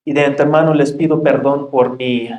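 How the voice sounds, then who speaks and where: A man's voice: mid-range voice, steady tone, moderate pace, darkish tone. A man in his forties with a Mexican Spanish accent, preaching a sermon to a congregation and reading scripture aloud. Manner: reads scripture aloud in a raised, projecting voice; plain, friendly asides to the congregation. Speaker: pastor. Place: church sanctuary. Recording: echoey church room.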